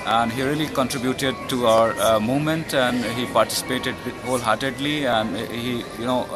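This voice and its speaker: Indian male doctor, earnest, halting delivery, mid pitch, loud music bed. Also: fairly even intonation. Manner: earnest, hesitant with many fillers. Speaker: family physician. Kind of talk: conference interview.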